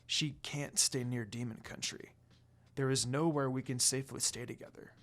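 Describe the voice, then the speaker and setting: Stoic, brooding guardian for a fantasy reading, man performing, quiet and protective, with music behind. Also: mid-range voice, steady tone, moderate pace, pitched below the performer's own voice, lightly acted.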